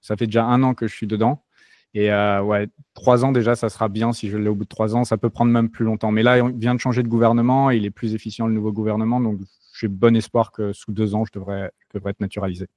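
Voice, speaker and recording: A man's voice: on the low side, quick pace, dry recording, studio quality. A French-born man as an interview guest, talking casually with many hesitations and restarts. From France. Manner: relaxed, many fillers and restarts.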